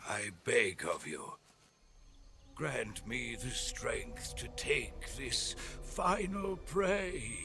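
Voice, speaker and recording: An actor playing an ancient, weary male sniper in a video game, pleading solemnly in a gravelly voice. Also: mid-range voice, expressive, very slow, full character voice. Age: elderly figure. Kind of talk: video game cutscene acting. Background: music.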